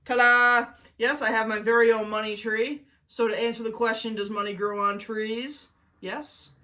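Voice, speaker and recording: A woman's voice: fairly high pitch, fairly even intonation, normal speed, bright, forceful tone. A woman hosting a children's story-time show, talking to kids in a playful, enthusiastic way. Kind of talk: children's book reading and review.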